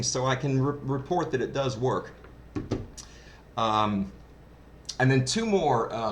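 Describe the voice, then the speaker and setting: Male hobbyist reviewer, low-pitched, informal and keen, telling anecdotes with noticeable ambient noise behind. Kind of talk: casual first-hand review vlog. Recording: clearly noisy background ambience.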